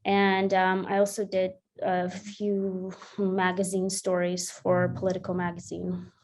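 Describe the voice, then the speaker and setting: Young woman journalist, measured and recalling. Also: mid-range voice, completely flat delivery, a little mellow. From Russia. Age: 20s. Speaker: war correspondent. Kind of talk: interview answers.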